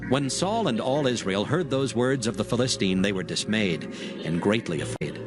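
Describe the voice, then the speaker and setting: Male narrator for dramatized scripture audiobook, expressive range, faint music underneath. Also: on the low side, normal speed.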